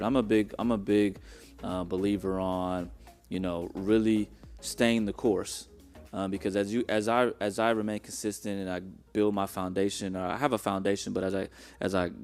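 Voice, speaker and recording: Low smooth-voiced reflective man. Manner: halting, reflective, many restarts. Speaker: church member guest. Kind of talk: casual testimony.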